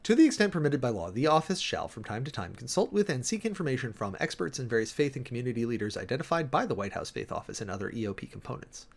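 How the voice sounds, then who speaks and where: A man's voice: medium pitch, very animated, quick pace, vocal fry, few pauses. A man giving a dramatic, emphatic reading of an official document, breaking off often for sardonic asides and commentary as host. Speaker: host, preacher.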